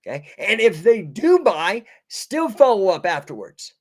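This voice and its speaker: A man with a slightly high voice gives informal live coaching online. He talks in punchy, emphatic phrases, addresses listeners like buddies in casual slang, and keeps checking that they follow. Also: very animated, a little slow.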